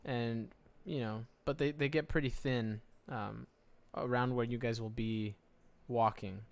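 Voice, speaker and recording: A man acting as game master, casually narrating a tabletop role-playing game in halting phrases. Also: medium pitch, fairly even intonation, creaky.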